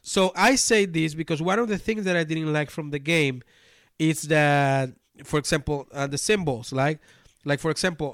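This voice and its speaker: A man with a Spanish accent hosts a podcast and talks through a board game review, his first language being Spanish. His voice sits a bit higher than most men's, and he rambles, restarting phrases and filling pauses. The sound is dry and close, with no room around it. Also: a bit bright, dry recording. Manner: rambling, many restarts and fillers. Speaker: podcast host.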